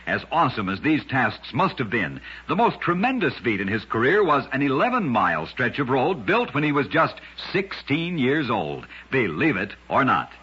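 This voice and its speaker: A man's voice: mid-range voice, very animated, moderate pace, few pauses, sharp tone, breathy edge. A man narrating short curiosities for radio in a scripted, dramatic style with emphatic storytelling. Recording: dull lo-fi old radio recording.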